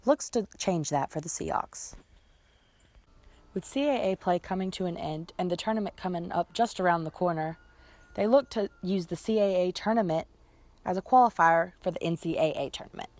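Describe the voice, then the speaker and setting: Female reporter, lowish pitch, measured scripted voice-over. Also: a little mellow, dry recording. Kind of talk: scripted sports narration.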